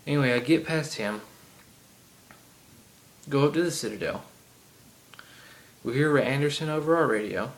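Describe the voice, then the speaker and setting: A man's voice: mid-range voice, moderate pace, pauses often. A man with a heavy Southern accent rambling through a casual game rant, full of ums and side tangents. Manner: chatty, many ums and asides. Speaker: gaming vlogger. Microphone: iPod camera.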